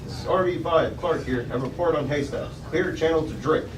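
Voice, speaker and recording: A man's voice: a little high, fairly quick, natural voice. A man reading the part of a polite male space station radio operator, clipped and procedural. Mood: businesslike. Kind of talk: table read of a sci-fi script. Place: restaurant dining room table. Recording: distant, echoey, noisy restaurant.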